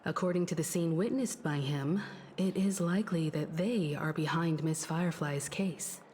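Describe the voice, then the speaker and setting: Low, composed female investigator played by an actress. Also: a little mellow, slight background noise, close to an everyday voice. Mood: pensive. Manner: measured, thoughtful, courteous. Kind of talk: scripted video game dialogue.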